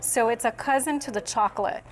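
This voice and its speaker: A woman's voice: a little high, brisk. A woman from Brazil presenting products conversationally as an interview guest, on a lapel mic in a TV studio. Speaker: interview guest, beauty company founder. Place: busy TV newsroom studio.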